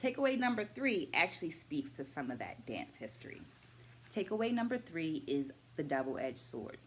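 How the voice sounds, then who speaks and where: A middle-aged woman, a dance educator, talking through her takeaways from a book in a measured vlog, stacking her points in lists and asking viewers to comment. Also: sits low, sing-song, a little hiss or hum. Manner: asks viewers questions and invites comments.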